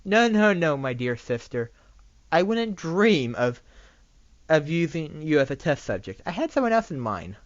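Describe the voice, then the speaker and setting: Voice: medium pitch, very animated, a little slow, dry recording, dull audio. A narrator voicing a mischievous, maniacal pony princess who breaks into devious chuckles in an amateur story reading. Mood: scheming.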